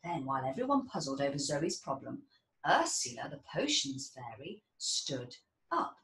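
A woman in her thirties reads a storybook to children with a lively, expressive delivery. She is in a roomy indoor space, a little way from the mic. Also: deep voice, normal speed. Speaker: storybook reader. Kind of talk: children's read-aloud. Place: small indoor children's playroom. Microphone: camera mic about a metre away. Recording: roomy, distant mic, faint background noise.

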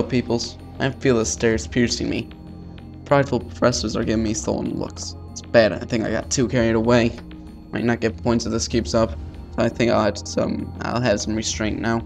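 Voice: mid-range voice, darkish tone. A let's player reading visual novel text aloud in an informal way, stumbling over words and dropping in casual asides.

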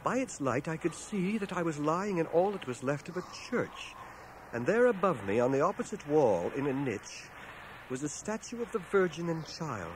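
An actor playing a war veteran who soberly recounts his battle memories in measured, articulate, literary storytelling for a radio drama. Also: fairly high pitch, very animated. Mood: awed. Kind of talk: dramatized first-person narration, radio drama. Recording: noisy cassette of 1980 radio drama.

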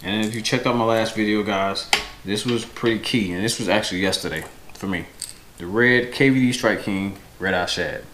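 Guy in his 30s talking casually to the camera at home, lowish voice, bit of background noise. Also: normal speed, fairly bright tone, reverberant. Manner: unscripted, self-correcting. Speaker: fishing vlog host. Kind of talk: casual instructional product talk to camera. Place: indoors, home room with windows. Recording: noisy home camera audio.

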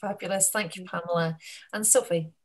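A woman moderating an online panel, brisk and encouraging as she hands over to each speaker. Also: fairly low pitch, fairly even intonation. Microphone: video call.

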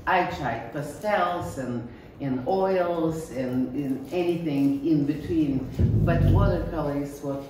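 A middle-aged woman with a Bulgarian accent, lecturing on her painting in a low voice and an unhurried delivery. She speaks plainly, now and then stopping to find the right word. The sound comes from far away in an echoey gallery room with steady background noise. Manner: plain, earnest, self-deprecating, searching for words. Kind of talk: informal art lecture. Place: small bright gallery room, indoors. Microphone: far camera, standing mic at a lectern.

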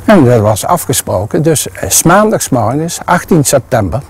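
An older man with a husky, slightly breathy voice tells of his childhood memories, slow and reflective in pace. He speaks outdoors beside a farmhouse for a documentary interview. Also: medium pitch, sing-song. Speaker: eyewitness interviewee. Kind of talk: recollection in interview.

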